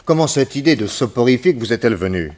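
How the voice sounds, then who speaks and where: An actor playing an authoritative detective in a radio drama, formal, probing and insistent. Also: male voice, mid-range voice, lively intonation, fast talker, darkish tone, natural voice. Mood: commanding, probing. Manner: commanding, formal, insistent questioning. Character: authoritative male American detective, brisk, probing and courteous.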